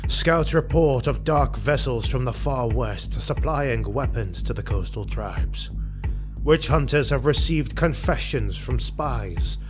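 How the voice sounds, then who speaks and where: Man acting a slow-speaking, grim army officer. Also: mid-range voice, lively intonation, music in the background. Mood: ominous, bitter. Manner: solemn, formal, deliberate, emphatic delivery. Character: grim, vengeful male Imperial army officer recounting war. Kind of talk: dramatic fantasy audio drama monologue.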